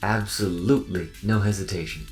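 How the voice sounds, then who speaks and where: A man's voice: deep voice, normal speed, faint music behind, natural voice. A man voicing a friendly, naive robot in a sci-fi audio roleplay, with a folksy, eager, self-effacing manner.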